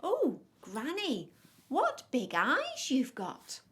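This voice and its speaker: A woman as an innocent, high-voiced little girl. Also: wildly swooping pitch, very slow, a bit bright, heavily acted. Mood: puzzled. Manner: wide-eyed, earnest. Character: innocent, trusting little girl, politely puzzled. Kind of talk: children's storybook character voice.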